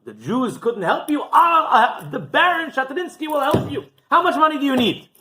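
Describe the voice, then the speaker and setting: A man's voice: very high voice, animated, moderate pace, pushed and bright, echoey room, voice pushed up, exaggerated voice. A man voicing a hearty, boastful rich baron in a storytelling tale, with an expansive, condescending manner. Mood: boastful, generous. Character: loud, hearty, boastful rich male baron, generous and breezy.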